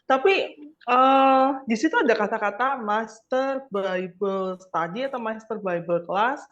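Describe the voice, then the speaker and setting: A woman's voice: fairly high pitch, unhurried. An Indonesian woman from Surabaya chatting casually as an interview guest. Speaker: interview guest, piano and English teacher. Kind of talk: casual chat. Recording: muffled lo-fi audio, light noise, clicks.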